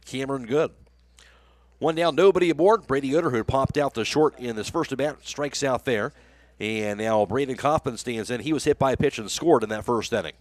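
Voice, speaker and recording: An American man with a medium-pitched voice calls a baseball game live on the radio. His play-by-play comes through close and dry, with very little room sound. He delivers it as running sports commentary. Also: brisk, no room sound.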